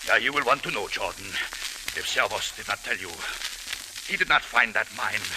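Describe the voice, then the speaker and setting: An actor playing a menacing foreign killer obsessed with gold, speaking formally and deliberately in an old radio drama. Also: male voice, fairly low pitch, animated, natural voice. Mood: bitter, angry. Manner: formal, deliberate, taunting phrasing. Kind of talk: 1940s-50s radio drama acting. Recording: hissy, crackly old radio recording.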